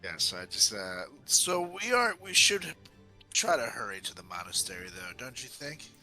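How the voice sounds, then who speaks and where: A man's voice: fairly low pitch, big pitch swings, normal speed, bright, forceful tone, soft background music, heavily acted. A man playing a polite but bossy fantasy adventurer in a tabletop game, with folksy, courtly, hesitant phrasing. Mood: courteous, hesitant.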